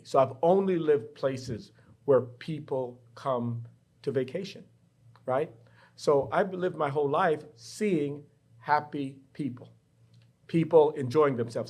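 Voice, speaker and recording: A middle-aged man from the Bahamas speaks as a professor guest in a candid interview. He tells his own story in a reflective, personal way, at an ordinary pitch for a man. He is recorded in a university studio. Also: a little slow, darkish tone.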